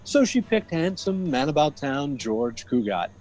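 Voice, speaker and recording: A man's voice: on the high side, big pitch swings, unhurried, a little mellow, rough recording. A man narrating a scripted radio comedy as its theatrical announcer.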